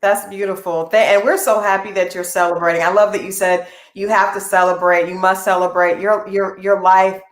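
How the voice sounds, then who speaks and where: A woman's voice: mid-range voice, flat delivery, brisk, hardly any pauses. A woman hosting an interview, who asks long, rambling questions and murmurs frequent agreement. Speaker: interview host. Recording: echoey room.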